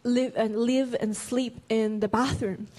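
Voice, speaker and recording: Woman interpreter, fairly high voice, careful phrasing with self-corrections. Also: fairly even intonation, unhurried, darkish tone. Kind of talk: consecutive sermon interpretation.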